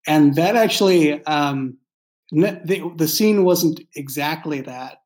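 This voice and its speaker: A man speaks in a mid-pitched voice, as a writer chatting about his craft. He explains in a casual, halting way and often circles back to reword what he has begun. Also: a little mellow. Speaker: fiction podcast writer and creator. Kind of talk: casual behind-the-scenes discussion.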